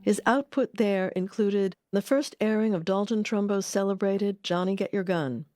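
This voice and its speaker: Woman narrating a documentary, measured delivery. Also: mid-range voice, warm tone, no echo, clean studio sound, faint music behind. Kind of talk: scripted narration.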